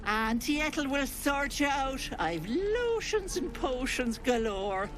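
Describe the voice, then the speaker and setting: An old village healer in a fantasy game, fussing and coddling. Her voice sits fairly high and swoops about, taking its time over each endearment, with music clearly underneath. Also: very animated, slow pace, heavily acted. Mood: fussing concern. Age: older. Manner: folksy, coddling, doting endearments.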